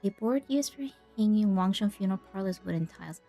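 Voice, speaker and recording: A woman streams a game with casual, easygoing commentary. Her tone is dark and relaxed at an average pitch, with faint music underneath. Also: fast talker. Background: soft game music.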